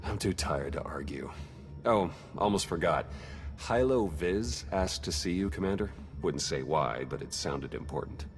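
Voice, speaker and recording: An actor playing a weary, businesslike intelligence agent in a video game, speaking matter-of-factly. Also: male voice, low-pitched, lively intonation, unhurried, music in the background. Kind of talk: video game cutscene acting.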